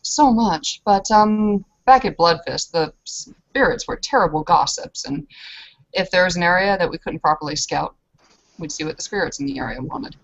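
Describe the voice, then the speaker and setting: A woman with a fairly low voice improvises in character in a roleplaying game. Her phrasing is deliberate and affectedly humble. The recording sounds slightly dull and lo-fi. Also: lively intonation. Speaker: tabletop role-player.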